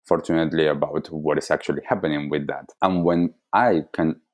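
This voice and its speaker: Very deep-voiced man with an Argentine accent. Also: steady tone. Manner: deliberate, earnest explaining. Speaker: wellness coach, podcast guest. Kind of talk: reflective interview answers.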